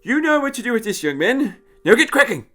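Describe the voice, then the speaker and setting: Man doing an elderly doctor, high and fussy. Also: very animated, brisk, a bit bright, exaggerated voice. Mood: brisk, gentle. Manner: fussy hesitations, old-fashioned phrasing. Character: elderly male doctor, kindly, brisk, patronising.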